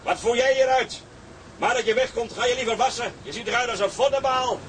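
An actor playing a hearty, plain-spoken tugboat skipper and owner in an audio drama. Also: male voice, extremely high pitch, fairly quick, fairly bright tone, a little hiss or hum. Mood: scolding. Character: hearty male tugboat skipper selling his beloved ship. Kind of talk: Dutch audio drama dialogue.

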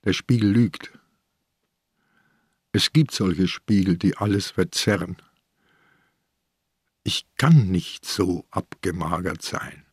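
An actor playing an ailing, irritable old police commissioner in a radio crime drama, with a dry, sardonic manner. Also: male voice, low voice, takes it slowly, long pauses, darkish tone, husky, dry recording, exaggerated voice. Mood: uneasy, grumbling. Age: older.

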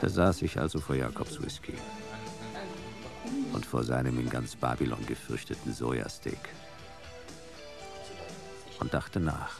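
An actor playing a laconic, hard-boiled future private detective, speaking in terse sentences in a noir radio play. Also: male voice, rumbling low, measured pace, creaky, long pauses, over music, heavily acted. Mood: terse, brooding. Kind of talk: noir first-person narration and radio play acting.

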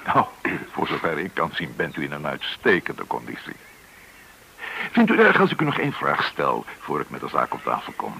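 An actor playing a courteous, evasive security service colonel, official in manner in a radio play. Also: male voice, very deep voice, huge pitch swings, moderate pace, sharp tone, exaggerated voice. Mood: persuasive. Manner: smooth-talking, official, politely evasive. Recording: noisy old radio play recording.

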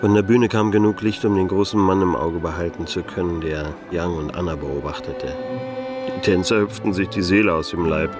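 Actor as deep-voiced, world-weary private detective. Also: male voice, a little fast, loud music behind the voice, lightly acted. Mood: wry narration. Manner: laconic, dryly ironic. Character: disillusioned private detective narrating his case, dry and world-weary. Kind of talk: noir radio play narration and dialogue.

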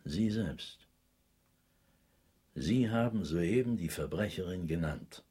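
An actor playing a grave, insistent doctor in a radio drama, speaking in a stern, accusatory and emphatic way. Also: male voice, low-pitched, animated, takes it slowly, warm tone, husky, exaggerated voice. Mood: stern, accusing. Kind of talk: German radio drama acting.

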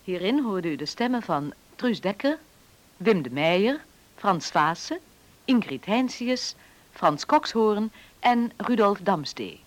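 A woman's voice: medium pitch, big pitch swings, takes it slowly. A woman radio announcer reading scripted credits.

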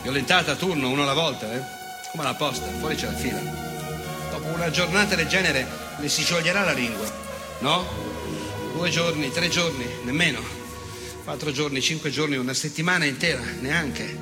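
An actor playing an unrepentant, nostalgic fascist veteran in a theatrical monologue, cruel and self-righteous, with sardonic rhetorical questions and weighted pauses. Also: male voice, a little high, over loud music, close to an everyday voice. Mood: cruel, mocking.

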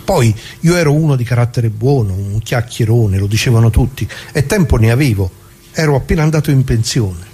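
A man acting out an old retired working man in a radio monologue. His voice is husky and mid-pitched. He rambles good-naturedly and colloquially, repeats himself, and grumbles with indignation straight at the listener. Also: animated, brisk, dark tone. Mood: wistful, chatty. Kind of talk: dramatic monologue in radio play.

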